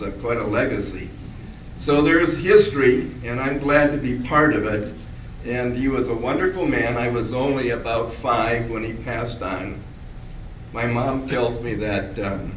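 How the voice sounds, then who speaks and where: Older guy telling old family stories, halting and restarting, sounds far off in an echoey room. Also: mid-range voice, a little hiss or hum. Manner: halting, with many restarts and pauses. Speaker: audience member, descendant. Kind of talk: spontaneous reminiscing from the audience. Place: meeting room at a public talk. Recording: roomy hall, mic at a distance.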